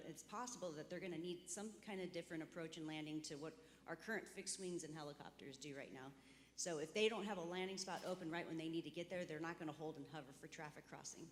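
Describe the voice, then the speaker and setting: A woman with a low-pitched voice moderates a conference discussion in an informal way. Her voice echoes strongly through the hall, over faint background noise. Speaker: panel moderator.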